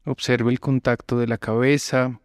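A man guiding a relaxation meditation as a yoga instructor, giving calm, measured instructions with long pauses between phrases. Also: mid-range voice, clear, resonant tone, no echo, studio quality. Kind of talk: slow guided relaxation meditation.

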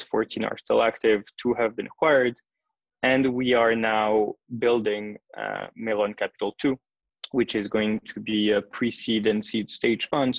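A man's voice: on the low side, gravelly, no echo. A man in his thirties from Switzerland, a venture capital investor answering interview questions methodically, laying out points one by one with frequent fillers. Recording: dull lo-fi dry sound.

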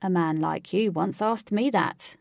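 A teasing older father who loves a jab at his son, as a woman puts him on. His voice is a little gravelly and low, full of dry ribbing. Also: a little slow, darkish tone, no room sound. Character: joking older father figure.

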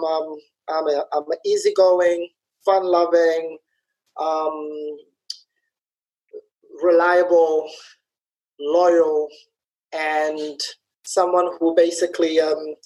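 A man's voice: high voice, low-quality recording. A man from Somalia being interviewed over a video call, talking in an easygoing way with many fillers and light laughs. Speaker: interview guest, festival organizer. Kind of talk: casual conversational interview.

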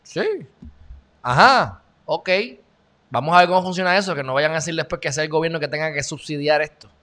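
A young Puerto Rican man chats sarcastically through a live stream. His voice is bright, forceful and sits a little high for a man. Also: a little fast. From Puerto Rico. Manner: chatty, sarcastic, greets viewers by name. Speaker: channel host. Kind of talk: casual live-stream news chat.

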